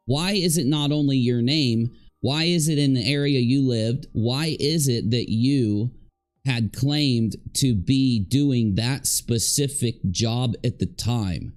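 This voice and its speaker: A man's voice: medium pitch, darkish tone. Sarcastic man ranting on a livestream. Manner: sarcastic, rambling talk to chat. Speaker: livestream commentator. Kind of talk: live reaction commentary.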